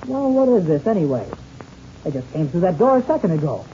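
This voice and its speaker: A man's voice: high voice, big pitch swings, brisk, mellow. An actor playing an eager, observant, friendly teenage boy pilot in an old-time radio adventure serial. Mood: puzzled. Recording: muffled, hissy old radio recording.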